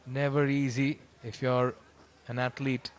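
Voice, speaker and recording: A man's voice: mid-range voice, little pitch movement, unhurried. A man giving live cricket commentary mixed with casual chat. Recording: clear background noise, live ground ambience.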